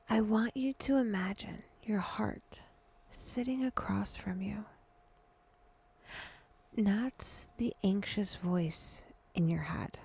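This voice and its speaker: Very slow, breathy woman guiding meditation. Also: medium pitch. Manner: hushed, drifting into near whisper; deliberate, with short phrases and long pauses. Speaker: guided meditation host.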